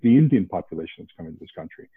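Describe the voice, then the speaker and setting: A man from around Boston, a podcast guest, talking in a casual animated way with many restarts. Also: low-pitched, rapid-fire, husky, no room sound. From New England, outside Boston. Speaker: podcast guest, investment banker. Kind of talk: casual interview talk. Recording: tinny phone-like line.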